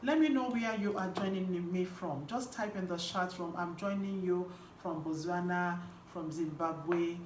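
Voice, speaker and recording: A woman's voice: lowish voice, measured pace, few pauses. Nigerian woman coaching in a roomy space. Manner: emphatic, repeats key phrases. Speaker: host and women's health coach. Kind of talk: live online coaching talk. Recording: roomy echo with faint background noise.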